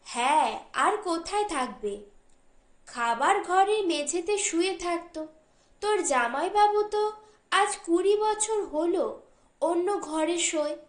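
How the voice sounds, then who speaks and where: A haughty elder sister from an audiobook story, voiced by a woman reader. She is strict, sharp-tongued and dismissive, in a high, slow delivery. The room rings with echo. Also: expressive, exaggerated voice. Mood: aggrieved.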